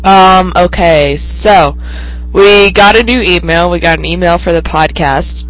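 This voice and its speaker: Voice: mid-range voice, a little slow, fairly bright tone, poor audio. A teenage podcast host with a cold, sniffling and congested, chatting on their own in a rambling way with many ums and restarts. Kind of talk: casual solo podcast chat.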